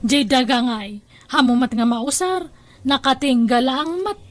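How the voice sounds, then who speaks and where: A woman acts a stubborn young daughter in an audio drama, with a distinctly high voice in a dry recording. She is free-spending, offhand and quick to defend her own choices. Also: dry recording. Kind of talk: Ilocano audio drama dialogue.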